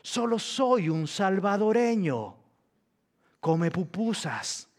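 A man preaching a sermon as pastor, swinging between hushed passages and loud, emphatic peaks. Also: high-pitched, sing-song, slow pace. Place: church hall. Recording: reverberant church hall.

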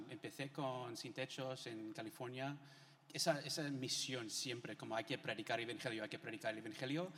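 A man's voice: mid-range voice, fairly bright tone. A middle-aged man from the United States, a missionary guest giving his testimony in an informal, conversational way. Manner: informal, peppers talk with Spain-style filler words. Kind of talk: conversational testimony in a church interview. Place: large indoor church hall. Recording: echoey hall with long reverb.

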